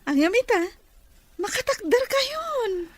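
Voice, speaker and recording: A woman with an Ilocano accent acting a warm, concerned young friend in a radio drama. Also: very high-pitched, big pitch swings, takes it slowly, dry recording, heavily acted. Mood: cheerful, encouraging.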